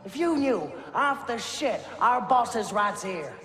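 An actor playing a flustered, aggrieved tax official in a game, nervous and indignant. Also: male voice, very high voice, animated, measured pace, exaggerated voice. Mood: bitter. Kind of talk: dramatic video game cutscene acting. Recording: echoing hall under loud music.

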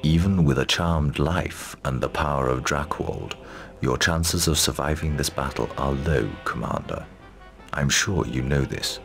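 Noble elder lord, fantasy game: bass-deep, gravelly, solemn, stately. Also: male voice, unhurried, fairly bright tone, faint music behind, heavily acted. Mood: solemn, respectful. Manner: formal, stately. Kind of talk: fantasy video game cutscene acting.

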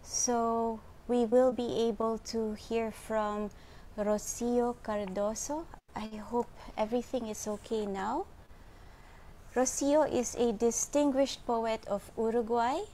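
A woman's voice: on the high side, warm tone. A middle-aged woman hosting an online event and introducing speakers over a video call. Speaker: host. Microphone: earphone mic on video call. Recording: video call with clear background noise.

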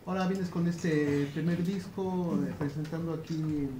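A woman's voice: sits low, fairly even intonation, normal speed. A woman who interviews a singer with friendly, affirming questions. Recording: echoey room with faint noise.

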